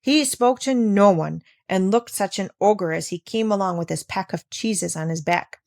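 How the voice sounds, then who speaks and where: A woman's voice: mid-range voice, normal speed, creaky, a little mellow, no echo. Woman reading a storybook, close dry sound. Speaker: audiobook reader. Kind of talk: expressive scripted storybook narration.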